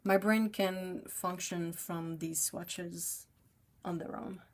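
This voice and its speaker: A woman's voice: on the low side, fairly even intonation, takes it slowly. A young woman with a French Canadian accent, a native French speaker, chatting casually with viewers on a livestream while she paints, reading the chat aloud and laughing often.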